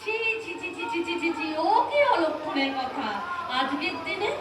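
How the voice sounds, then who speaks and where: Noisy echoing recording, actress as guileless young bride, Kolkata Bengali, high voice, chatty, comic audio drama. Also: female voice, crowd noise. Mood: shocked. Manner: unhurried, guileless, exclaiming. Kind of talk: comedy audio play acting. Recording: very echoey, distant, clearly noisy.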